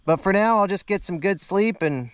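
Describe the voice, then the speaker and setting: A man's voice: high voice, moderate pace, no room sound. A man in his thirties chatting casually to camera in a laid-back, easygoing way from inside a small tent outdoors. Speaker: camping vlogger. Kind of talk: casual vlog chat to camera.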